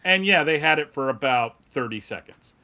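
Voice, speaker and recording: A man's voice: on the high side, expressive, normal speed, bright, forceful tone. A man speaking as a panelist in casual, explanatory chat.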